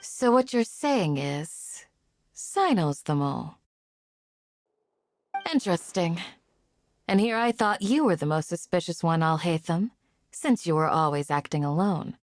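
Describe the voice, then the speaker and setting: Composed, lower-pitched shrewd woman. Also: lively intonation, measured pace, long pauses, slight background noise. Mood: suspicious, wry. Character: composed, shrewd, dryly teasing young adult woman. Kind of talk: video game character dialogue.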